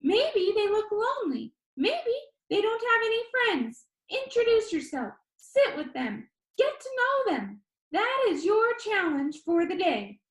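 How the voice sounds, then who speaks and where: A woman voicing an energetic, upbeat, slightly weird young male video host in an audiobook, with bubbly, emphatic, encouraging delivery. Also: very high voice, a little slow, few pauses, roomy sound, pitched above the performer's own voice, heavily acted, low-quality recording. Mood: eager, urging. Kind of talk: theatrical audiobook character voice.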